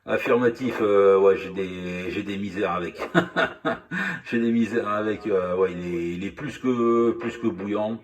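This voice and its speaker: A man's voice: on the low side, unhurried, distant mic. A man chatting casually over two-way radio as a hobby operator, speaking hesitantly, repeating himself and often apologetic.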